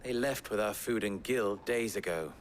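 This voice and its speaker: An actor playing a wry, wordy, sardonic fixer from a desert town in a video game cutscene. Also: male voice, fairly low pitch, normal speed, a little hiss or hum, natural voice. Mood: wry, measured. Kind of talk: dramatic game cutscene acting. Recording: music behind the voice. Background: clear background music.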